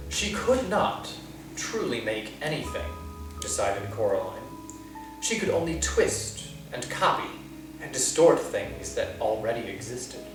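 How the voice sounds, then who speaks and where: Slow, expressive man reading a story. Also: medium pitch. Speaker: librarian reading aloud. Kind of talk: storytime read-aloud narration. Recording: roomy, distant, with music. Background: soft instrumental music.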